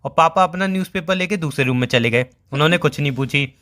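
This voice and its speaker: Man acting a fast-talking, teasing young boyfriend. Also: fairly high pitch, a bit bright, lightly acted. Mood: explaining, storytelling. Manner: bantering, explanatory, anecdotal. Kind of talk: casual scripted couple phone call in Hindi.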